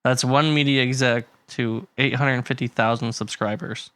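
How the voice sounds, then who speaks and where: A man's voice: mid-range voice, steady tone, moderate pace, bright, forceful tone, no echo. A man co-hosting a casual podcast chat, reading figures aloud and laughing often. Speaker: podcast co-host.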